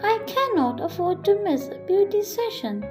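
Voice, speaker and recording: A vain young storybook princess, played by a woman, speaks in a high, swooping voice. She is spoiled and scornful, with haughty, dramatic phrasing over background music. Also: sing-song, moderate pace. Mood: whiny distress.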